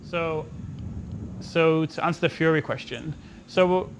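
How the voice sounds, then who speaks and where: A man's voice: on the high side, takes it slowly, a little hiss or hum. A man answering questions as a game developer on a panel, explaining informally in a loose, hedging way. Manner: hedging, explanatory, peppered with "you know" and "kind of".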